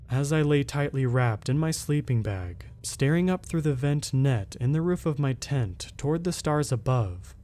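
Mellow-voiced man reading a horror story. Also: mid-range voice. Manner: slow, measured, dramatic reading. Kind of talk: scripted first-person horror narration.

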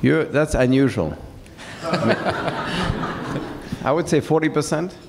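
Low-voiced guy from france chatting on stage, lots of ums, echoey hall w faint hiss. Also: lively intonation, takes it slowly, creaky. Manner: hesitant, many fillers. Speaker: guest speaker. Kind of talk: onstage conversation. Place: large event hall with seated audience. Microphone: handheld mic.

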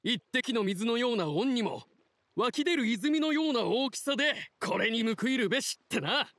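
An actor voicing a humble, earnest young boat captain in a video game, plain-spoken and sincere. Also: male voice, very high-pitched, expressive, normal speed, fairly bright tone, dry recording. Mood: humbled, grateful. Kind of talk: video game dialogue acting.

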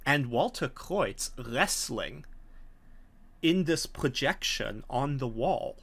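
A man's voice: medium pitch, expressive, slow, a bit bright. A middle-aged man narrating as game master, explaining things in hesitant phrases. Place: indoor home study, video call.

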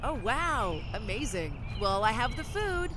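A woman's voice: on the high side, big pitch swings, measured pace, noisy background. A woman playing a chatty, caring young lawyer in an amateur audio drama. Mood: friendly. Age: young adult.

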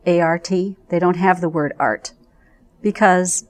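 A middle-aged American woman speaking conversationally in an interview, thoughtful and halting in her phrasing. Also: fairly low pitch, fairly even intonation. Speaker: arts education program representative. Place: indoors in front of a curtain.

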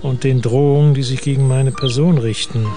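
An actor playing a sceptical, busy middle-aged government minister in a radio play, with a dry, dismissive manner. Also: male voice, medium pitch, fairly even intonation, moderate pace, over music, natural voice. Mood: incredulous.

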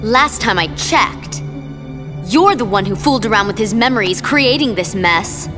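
An actress playing a cruel, short-tempered young villainess in a video game, mocking and haughty. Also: female voice, mid-range voice, animated, normal speed, music in the background, lightly acted. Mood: irritated menace. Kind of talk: video game cutscene acting.

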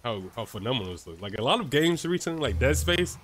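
A man's voice: medium pitch, very animated, quick pace, a bit bright, slightly rough. A young man giving casual, rambling gameplay commentary from his room, slightly stuffy from a cold and dropping "bro" and "like" often. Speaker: gameplay streamer. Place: his own room, lights off.

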